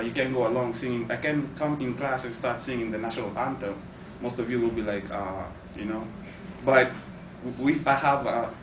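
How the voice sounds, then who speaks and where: A man from Kenya giving an informative class speech, hesitant, with many fillers and restarts. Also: mid-range voice. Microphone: far camera. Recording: echoey, distant, tinny, noisy.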